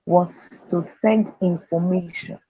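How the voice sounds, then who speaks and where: A woman's voice: on the low side, steady tone, slow pace, warm tone, husky, no room sound. A woman speaker and author giving earnest, faith-driven motivational answers in an interview. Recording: dull, dry lo-fi sound.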